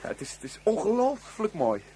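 A courteous young man in a radio play, hesitant and reluctant to impose. His lightish voice moves around a lot in pitch as he stumbles politely over his words, over faint background noise. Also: very animated, brisk, mellow. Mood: agreeable. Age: young adult. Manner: polite, hesitant, repeats himself. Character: polite, hesitant young male house guest, reluctant to stay.

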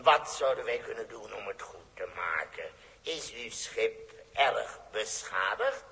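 A sly galactic schemer from a 1960s radio play. His voice is bright and creaky, swooping widely from mutter to proclamation. He is falsely polite and grandiose, heard in a long, hall-like reverb. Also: fairly low pitch, lively intonation, a little slow, exaggerated voice. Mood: slyly courteous. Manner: theatrical, mock-polite, hemming and repeating himself. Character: sly, grandiose exiled warlord, cunning galactic game player. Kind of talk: 1960s radio drama acting.

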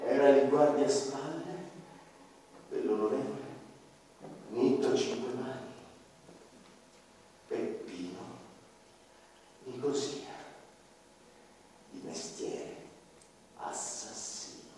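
A man's voice: mid-range voice, slow pace, long pauses, far from the mic, a little hiss or hum. Man narrating in an echoey hall. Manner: grave, dramatic storytelling with sudden drops to a hush. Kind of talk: first-person radio drama narration.

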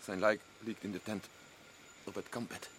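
A man's voice: lowish voice, level tone, measured pace, background noise, natural voice. A man playing a nervous, sick explorer in a radio play, talking in a pleading, shaken way. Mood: grieving. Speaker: expedition member.

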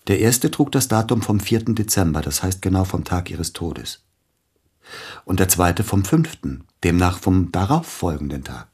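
A deep, rough-edged male voice plays a bereaved concert pianist in a radio drama. He is formal and hesitant, brooding over his loss, with jealousy pressing through his courtesy. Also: very animated, moderate pace, a little mellow, heavily acted. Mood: pointed. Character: grieving, jealous widowed male pianist, courteous but probing. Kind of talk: German radio play acting.

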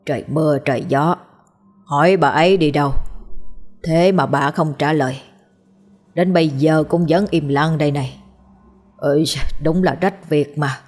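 A woman's voice: deep voice, normal speed, pauses often, a little mellow, faint music behind. A woman voicing a drunk middle-aged husband who grumbles obliviously in a slurred drawl. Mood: tipsy, grumbling.

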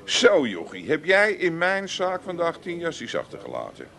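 An actor in a 1980s radio comedy, playing a smug, quietly threatening shopkeeper with an Amsterdam accent. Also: male voice, a little high, huge pitch swings, normal speed, full character voice. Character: menacing Amsterdam shopkeeper, smug tough type.

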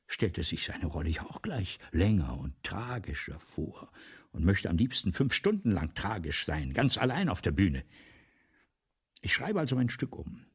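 Husky, theatrical, embittered playwright. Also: male voice, fairly low pitch, full character voice. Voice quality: husky, gravelly, breaking into whispers. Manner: theatrical, rhetorical, building repetitions then sudden hushes. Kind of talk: German radio play monologue, 1970s.

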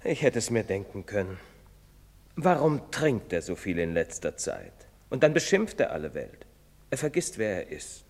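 An actor playing a pious, fatherly village priest who admonishes gently in a preacherly way. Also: fairly low pitch, big pitch swings, moderate pace. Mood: admonishing. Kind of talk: stage play recording. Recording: long hall reverb.

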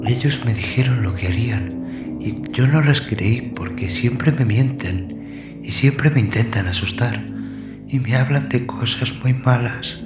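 An anxious, troubled boy acted out by a male narrator insists on his fears in a horror tale. His pitch sits above the performer's usual voice. Loud music and rain play behind him. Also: unhurried, echoey room, natural voice. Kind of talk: horror audio story.

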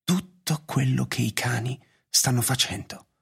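A male actor playing a weary, reflective human master in a radio drama, doubting and deliberate, pausing between phrases. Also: mid-range voice, gravelly, husky, exaggerated voice. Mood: pensive doubt. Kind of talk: literary radio theatre narration and acting.

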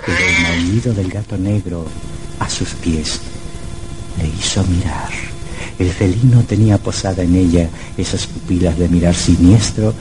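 An actor playing a sinister storyteller, narrating an old radio horror drama in a theatrical, literary style. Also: male voice, low voice, steady tone, unhurried, poor audio. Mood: ominous.

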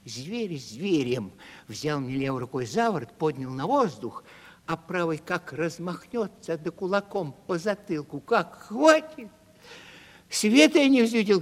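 High-pitched, lively vagabond comedian. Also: male voice, exaggerated voice. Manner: animated, plaintive storytelling. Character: scrawny, plaintive, cheeky vagabond provincial comic actor. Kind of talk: 1970s audio stage drama acting. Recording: reverberant hall-like studio recording.

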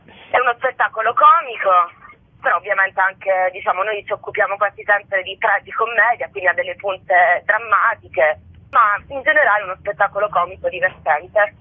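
Woman on a tinny phone line, halting. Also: medium pitch, breathy edge, dry recording. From Bari, southern Italy. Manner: rambling, frequent filler words, searches for words. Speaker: guest playwright and actress. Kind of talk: radio interview over the phone. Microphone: telephone.

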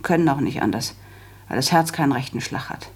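An actress playing a self-assured, practical daughter in a German radio drama, speaking in a brisk, matter-of-fact, managing way. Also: female voice, extremely low pitch, expressive. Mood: firm, dismissive.

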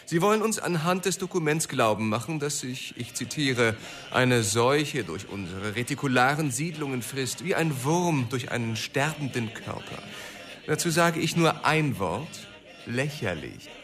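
A man's voice: medium pitch, expressive, measured pace, music in the background, natural voice. An actor playing a pompous, scornful political spokesman, delivering formal, mocking oratory in a radio drama. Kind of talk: radio drama parliamentary speech.

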